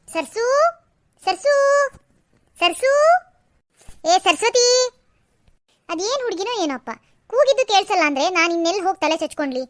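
Woman as a fast-talking, nagging mother-in-law; high voice, dry close sound. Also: no room sound. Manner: nagging, sharp scolding. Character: stern scolding middle-aged mother-in-law. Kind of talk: Kannada audio drama.

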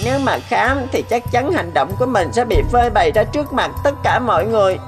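A woman's voice: on the high side, lively intonation, fast, close to an everyday voice. A woman voicing an anxious schoolboy in a cartoon, earnest and childlike. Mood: guilty, fearful. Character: anxious schoolboys in a moral tale. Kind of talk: cartoon dubbing. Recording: loud guitar music behind.

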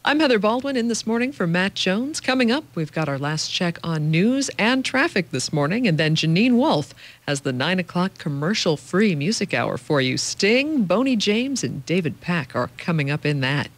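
A woman's voice: on the low side, very animated, normal speed, hardly any pauses. A woman with an American accent announcing songs as a radio DJ.

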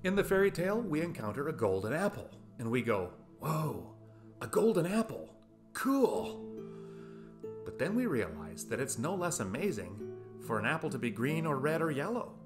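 Animated middle-aged man, fairly high voice. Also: normal speed, music in the background. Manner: rhetorical questions, playful emphasis. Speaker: video essayist. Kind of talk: scripted talk to camera, essay-like. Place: small room at home, indoors.

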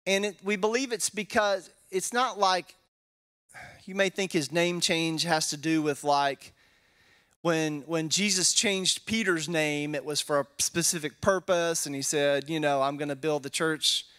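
A Texan pastor in his forties talks through scripture like a chat with friends, tossing in "right?" and little fillers as he thinks. His voice sits a bit high for a man. He speaks into a handheld mic in a wooden church hall. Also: measured pace, fairly bright tone, clear, resonant tone. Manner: casual, tags statements with "right?", thinks aloud with fillers. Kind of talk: conversational Bible teaching. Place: wood-paneled church hall, indoors. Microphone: handheld microphone.

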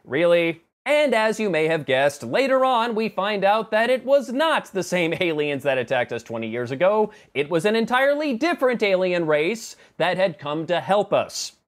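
A man's voice: high voice, sing-song, moderate pace, few pauses. A man in his thirties giving a conversational movie review to camera in a sarcastic, exasperated manner. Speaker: film review vlogger. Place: small home office room indoors.

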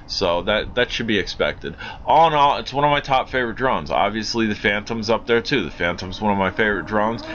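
An American man with a fairly low, forceful voice talks through a casual drone review. He is informal and enthusiastic, often repeating himself for emphasis. The sound is dull and lo-fi, with faint noise behind him. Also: animated, fairly quick, hardly any pauses. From Pennsylvania, United States. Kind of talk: casual flight review commentary.

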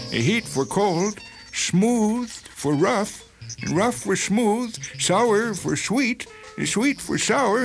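An actor playing an uneasy, apologetic doctor in a radio drama, speaking hesitantly and haltingly. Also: male voice, high voice, exaggerated voice, poor audio.

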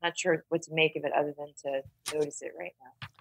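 A woman's voice: low voice, fairly even intonation, quick pace, gravelly, background noise. A woman talking casually about literature as a podcast panelist, thoughtful and often checking in with "right?".

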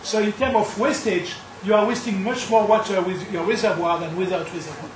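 European male panelist in his fifties, animated and high-voiced, recorded from a distance in a roomy hall. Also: brisk, background noise. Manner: animated, explaining with points in order. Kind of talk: panel discussion answer. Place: conference hall panel table. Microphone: table mic, close camera.

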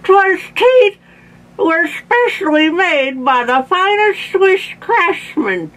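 A woman's voice: very high-pitched, big pitch swings, slow, a bit bright, voice pushed up, heavily acted, low-quality recording. A woman voicing a distraught, indignant old grandpa in a storybook, melodramatic and wailing. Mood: tearful, indignant.

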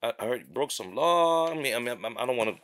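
A middle-aged man talking casually on a small studio set. His pitch swings widely as he fires off incredulous, repeated questions. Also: expressive, quick pace, heavy background noise. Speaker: podcast co-host. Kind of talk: casual chat.

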